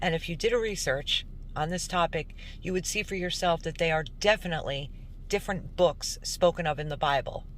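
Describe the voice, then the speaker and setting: A woman giving earnest, emphatic religious commentary as a host, in deliberate narration. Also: on the low side, a little hiss or hum, rough recording. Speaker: host introducing a recorded call.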